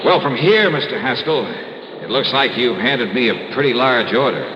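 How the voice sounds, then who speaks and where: An actor playing a wry freelance insurance investigator in a 1950s radio drama, asking clipped, skeptical questions. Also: male voice, mid-range voice, expressive, moderate pace, highs cut off, natural voice. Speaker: investigator and narrator. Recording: old radio broadcast with loud hiss.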